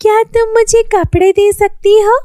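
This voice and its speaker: A woman's voice: extremely high pitch, fairly even intonation, normal speed, dark tone, dry recording, studio quality, pitched above the performer's own voice. A woman voicing a poor, meek young girl pleading for clothes in a children's story.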